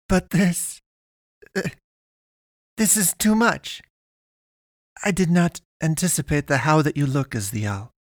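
An uncanny young girl from another world, acted out by a male narrator, speaks in a raised, accented voice. She is calm and oddly formal, picking archaic, stilted words as an audiobook character. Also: on the high side, no echo, clean studio sound, voice pushed up. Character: otherworldly young girl pastoress, calm, odd, gun-toting.

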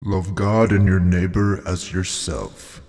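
A solemn male God speaks in long, booming reverb. The voice is deep and husky, with kindly, drawn-out delivery for comedy. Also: fairly even intonation, darkish tone, heavily acted. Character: God, a solemn, slow, benevolent male deity.

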